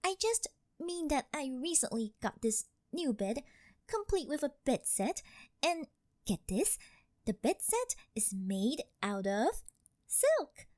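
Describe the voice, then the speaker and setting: A woman's voice: sits high, big pitch swings, slow pace, darkish tone, no echo, studio quality, heavily acted. A woman playing a rich, possessive, doting older girlfriend in an ASMR roleplay, coy, cutesy and teasing as she sweet-talks. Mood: flustered, eager.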